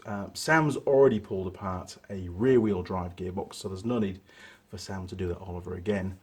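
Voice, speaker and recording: Hoarse, husky New mechanic. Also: male voice, on the low side. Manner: chatty, relaxed, rambling with fillers. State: hoarse with a cold. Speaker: mechanic hosting his own channel. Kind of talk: casual workshop explainer. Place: workshop.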